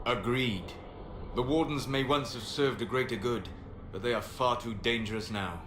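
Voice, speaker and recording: A man's voice: medium pitch, moderate pace, a bit bright, natural voice. A man playing a grave, judgmental ally in a fantasy video game, speaking formally. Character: grave, judgmental male warden-hunting ally. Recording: echoey, faint background noise.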